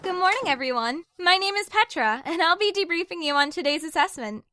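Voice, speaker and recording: A woman's voice: very high-pitched, expressive, brisk, crowd noise. A woman playing a composed young female officer briefing trainees in an amateur superhero drama. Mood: composed, instructive. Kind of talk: amateur audio drama acting.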